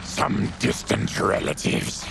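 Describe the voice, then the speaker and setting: An actor voicing a gruff, honorable mutant warrior in a video game, with a growling, gravelly voice and a terse, solemn, commanding manner. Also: male voice, takes it slowly, noisy background, natural voice. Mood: grave, calm. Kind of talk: video game cinematic acting.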